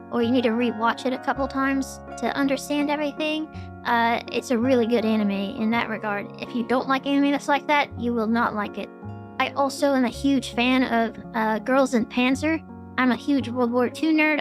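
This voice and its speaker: Female VTuber, somewhat high, gravelly and strained, loose rambling delivery over faint music. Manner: rambling with many fillers and restarts. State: throat getting sore. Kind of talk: casual Q&A vlog.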